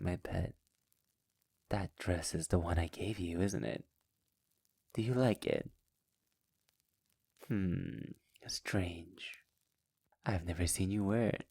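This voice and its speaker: A man's voice: low voice, a little mellow, heavily acted. A man acting a sadistic, possessive vampire master in an intimate ASMR roleplay, speaking in a silky, teasing, condescending manner that often slips toward a whisper. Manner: slow, teasing, often dropping into breathy whispers; silky, formal, condescending endearments. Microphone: close mic in a dry, quiet space.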